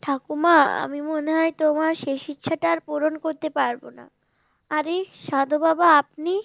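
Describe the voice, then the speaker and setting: A woman's voice: high voice, fairly even intonation, moderate pace, gravelly, a little hiss or hum. A woman voicing a poor, earnest young village boy, a pleading grandson in a cartoon story. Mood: sorrowful. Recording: dull lo-fi cartoon audio with music.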